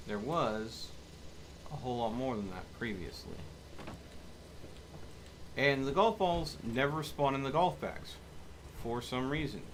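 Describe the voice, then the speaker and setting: A man's voice: medium pitch, measured pace, long pauses. A man giving casual live commentary as he streams a video game. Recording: clear background noise.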